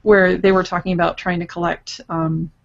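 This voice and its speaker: Muffled online-call audio, woman, slightly low pitch, casual discussion, halting delivery, podcast guest. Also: flat delivery, gravelly. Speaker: podcast guest, comics artist. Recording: dull, lo-fi internet call audio.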